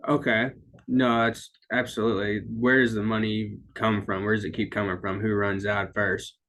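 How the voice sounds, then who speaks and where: A man's voice: lowish voice, level tone, moderate pace, fairly bright tone. A man conducting an interview, asking questions in a conversational way.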